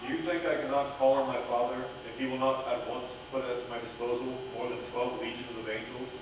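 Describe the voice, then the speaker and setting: A man's voice: medium pitch, little pitch movement, brisk, natural voice. A man reading the part of Jesus, a solemn teacher, in a scripted church Passion reading. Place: inside a church, far from the microphone. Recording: echoey church, distant, humming noise.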